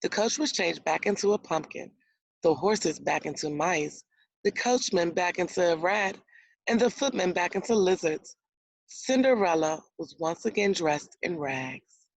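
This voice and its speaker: A woman with a slightly low voice reads a children's story aloud in the manner of an elementary teacher. She explains words and poses guiding questions to her young listeners. The sound is dry and close. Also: lively intonation, measured pace, vocal fry, no echo, poor audio.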